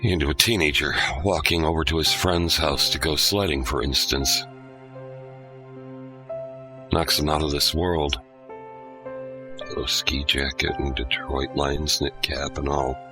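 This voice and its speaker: A man hosting and narrating an audio drama in a measured, deliberate way. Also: rumbling low, fairly even intonation, over music. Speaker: host and narrator.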